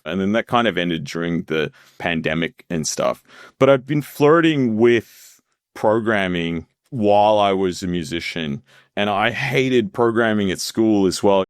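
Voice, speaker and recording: Deep-voiced man telling stories. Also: dry recording, clean studio sound. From Australia. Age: 30s. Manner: relaxed, rambling, laughs often. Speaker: podcast guest. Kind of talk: casual storytelling interview.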